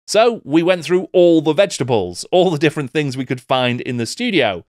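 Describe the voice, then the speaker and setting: A man's voice: fairly high pitch, no room sound. A middle-aged man presenting a scripted documentary-style video essay, close on a desk microphone. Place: small book-lined home studio.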